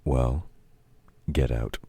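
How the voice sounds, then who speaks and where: Man acting very deep, husky, domineering villain. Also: fairly even intonation, drawn-out words, warm tone, no room sound, heavily acted. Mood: irritated, threatening. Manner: gruff, mocking, commanding, addressing a meek listener. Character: domineering, taunting male villain, grudging temporary ally of heroes. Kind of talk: intimate audio roleplay, scripted dramatic acting.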